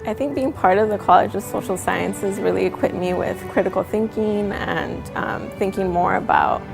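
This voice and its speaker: A graduating woman student in a video testimonial, thoughtful and pausing with fillers. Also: mid-range voice, gravelly. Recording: music bed underneath.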